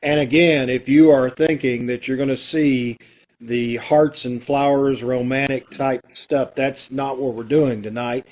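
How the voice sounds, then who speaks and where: A man's voice: mid-range voice, fairly even intonation, a little slow, darkish tone. A man chatting in a folksy, casual way as he hosts an amateur theatre troupe's online show over a video call. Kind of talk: casual welcoming chat to an online audience.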